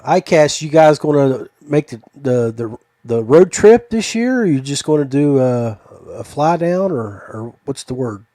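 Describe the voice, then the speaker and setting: A man's voice: mid-range voice, animated, unhurried, hardly any pauses, warm tone, no echo. A man hosting a podcast in a casual, conversational interview style. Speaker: podcast host.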